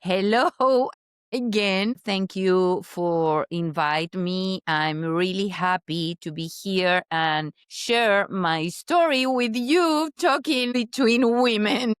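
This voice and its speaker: Slow-speaking woman. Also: mid-range voice, clear, resonant tone, dry recording, studio quality. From Chile. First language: Spanish. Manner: careful, halting English with pauses and restarts. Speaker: guest, business consultant. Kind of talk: casual interview chat.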